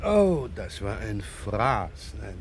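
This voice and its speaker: A man's voice: on the low side, very animated, takes it slowly, heavily acted. A rumpled police inspector in a 1970s radio crime play. He is wry and talkative, circling back on his words as he probes. The recording carries slight noise. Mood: wry, rambling. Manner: repetitive, probing, wry and rambling. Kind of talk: 1970s German radio crime drama acting.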